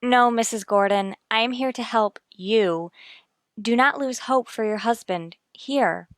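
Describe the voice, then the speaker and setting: A woman's voice: a little high, measured pace, no echo, natural voice. A woman playing a composed, formal figure of authority in an old-time radio drama. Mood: reassuring.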